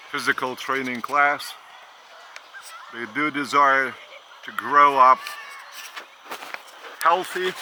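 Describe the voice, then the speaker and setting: An older man with a Lithuanian accent gives a spiritual teaching outdoors, speaking slowly with halting repeats. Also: mid-range voice, sharp tone, a little hiss or hum. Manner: slow, halting, repeats words. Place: outdoors by a school stadium.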